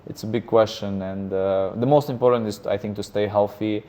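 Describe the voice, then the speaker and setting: A man's voice: fairly low pitch, steady tone, a little fast, mellow. Hesitant man in his thirties. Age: 30s. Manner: hesitant, many fillers and restarts. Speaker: guest, freelancer. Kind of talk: casual interview conversation.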